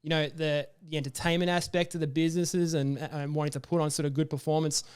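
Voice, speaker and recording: A man's voice: fairly high pitch, little pitch movement, quick pace, clean tone. An Australian man hosting a podcast interview, asking long, friendly, rambling questions with plenty of fillers. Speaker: podcast host. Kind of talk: casual interview.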